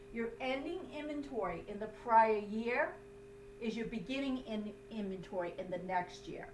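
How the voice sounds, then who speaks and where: Slow-paced woman teaching in an echoey room. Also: on the high side. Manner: didactic, emphatic, checks understanding with "okay". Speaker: accounting instructor. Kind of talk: step-by-step lesson explanation. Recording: roomy, distant mic, faint hum noise.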